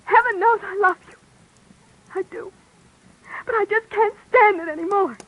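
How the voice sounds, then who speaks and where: A weary, tearful wife, in an old radio play. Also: female voice, very high voice, normal speed, lo-fi sound. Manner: bitter, sarcastic, pleading. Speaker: radio drama actress. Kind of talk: 1940s radio acting. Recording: faint noise on an old radio recording.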